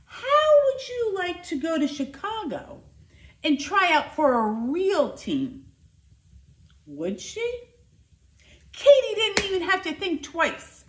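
Female storyteller for children, very lively intonation, unhurried and emphatic, recorded in an echoey room. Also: very high-pitched, a little hiss or hum. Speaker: school nurse reading aloud to children. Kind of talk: animated children's picture book read-aloud. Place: living room by a fireplace, indoors. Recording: roomy, echoing indoor sound.

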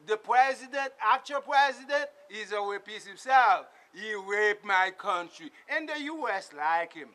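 A man's voice: high voice, expressive, measured pace, few pauses. A middle-aged Haitian man with a Haitian accent, delivering an impassioned, repetitive political rant straight to a close camera in a small tarp-walled space. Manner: emphatic and repetitive, swings between shouting and muttering. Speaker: political vlogger. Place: small indoor space with blue tarp walls. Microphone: camera close up in front of him.

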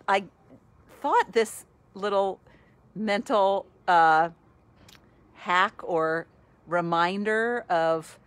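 A woman in her sixties, a podcast host, chatting casually and cheerfully into a phone at arm's length on a sunny outdoor patio, laughing often. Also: lowish voice. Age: 60s. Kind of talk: casual selfie video chat.

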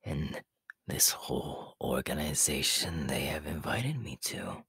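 A man voicing a sinister, power-hungry immortal body-stealer in an ASMR roleplay, sly and menacingly polite, with a raspy, half-whispered hiss. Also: rumbling low, animated, bright, forceful tone, no room sound, studio quality, full character voice. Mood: gloating. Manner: slow, drawn-out, menacingly polite. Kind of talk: ASMR character roleplay monologue.

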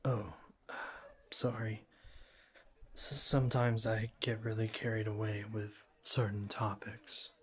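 Guy acting as shy awkward college boy, slow n halting, wordy formal talk, asmr roleplay. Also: lowish voice, little pitch movement, husky. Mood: nervous, formal. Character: shy, earnest, cool-mannered young male college student.

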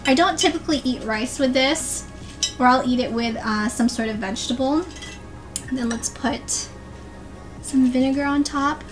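High-pitched young woman, cheerful cooking vlogger, step-by-step instructions, home kitchen, soft music underneath. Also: moderate pace, rough recording. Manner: upbeat, instructive, addresses viewers as you guys. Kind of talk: cooking tutorial narration.

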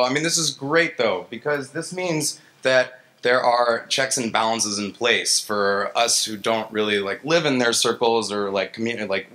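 A man's voice: lowish voice, fairly bright tone, poor audio. A man in his thirties giving an informal, colloquial conference talk as an engineer, self-deprecating and acting out his reactions, into a stage microphone. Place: stage in an indoor gallery event space. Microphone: handheld-style vocal mic on a stand.

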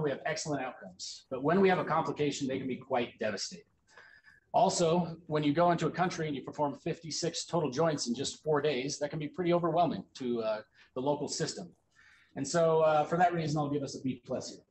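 Fast-talking man giving a medical lecture. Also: fairly high pitch, a little hiss or hum, low-quality recording. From United States. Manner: quick, casual lecturing with frequent ums and uhs. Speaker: orthopedic surgery resident presenting at grand rounds. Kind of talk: academic slide presentation.

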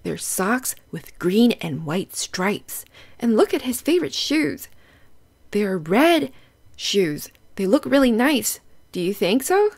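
Female children's read-aloud narrator, unhurried and animated, simple teaching phrases, questions to young listeners. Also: mid-range voice.

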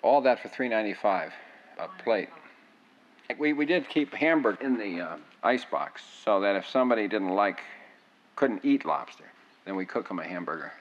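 Older man with a low voice. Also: lively intonation, moderate pace. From United States. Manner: rambling, with frequent restarts. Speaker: interviewee. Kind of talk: oral history reminiscence. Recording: dull lo-fi sound.

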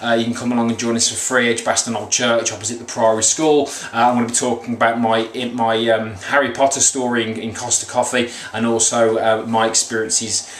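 A man in his thirties with a slightly croaky voice, giving a rambling, earnest motivational vlog talk as a coach. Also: mid-range voice, little pitch movement, a little fast, few pauses, a bit bright. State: slightly croaky after a run. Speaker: weight loss coach. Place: small room at home, indoors. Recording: echoey small room, faint background noise.

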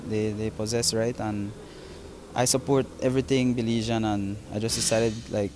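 A man's voice: lowish voice, steady tone, moderate pace, noisy background. A young man, a concert promoter, talking casually in an interview, with many fillers and restarts, recorded on a handheld mic in a large open hall. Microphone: handheld reporter mic.

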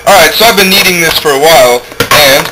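A man's voice: a little high, a little fast. A young man hosting a casual home cooking demonstration in his kitchen, joking offhandedly as he works, recorded on a camera's own mic. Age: young adult. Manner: jokey, offhand, talks while working. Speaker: home cooking show host. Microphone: camera mic, a few feet away. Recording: noisy home-video camera audio.

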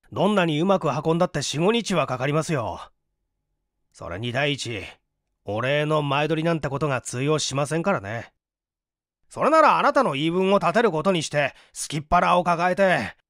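男性が演じる 腹ぺこで文無しの青年、抑揚豊か. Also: male voice, a little high, very animated, normal speed, fairly bright tone, no room sound, studio quality. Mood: resigned, grumbling. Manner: polite, persuasive, grumbling. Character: hungry, penniless, coaxing young man, weary but courteous. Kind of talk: dramatised audiobook reading.

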